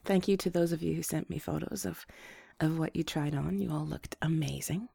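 A woman with a rough voice, hoarse from a flu, hosting a gentle and reassuring advice talk to her listeners. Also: low voice, fairly even intonation, moderate pace, no echo, studio quality. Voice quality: rough, slightly congested voice. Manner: gentle, reassuring, restarts phrases. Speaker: advice show host.

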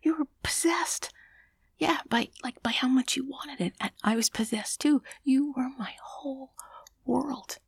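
A young adult woman telling an intimate story in a close, breathy ASMR whisper. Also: high voice, a little slow, no room sound.

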